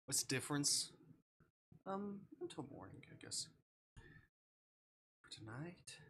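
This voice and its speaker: A man's voice: on the high side, sing-song, takes it slowly, bright, forceful tone, slight background noise. A man doing casual livestream game commentary, reading on-screen text aloud and muttering asides to himself. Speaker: game streamer.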